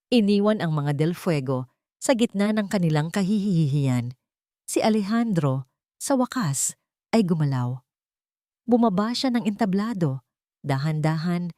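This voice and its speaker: A woman's voice: medium pitch, darkish tone, dry recording, studio quality. A woman reading a scripted dramatic story as its narrator.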